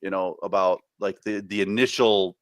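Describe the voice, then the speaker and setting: A man's voice: deep voice, steady tone, normal speed, no room sound. A man co-hosting a podcast in casual chat, rambling with many fillers and restarts. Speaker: podcast co-host.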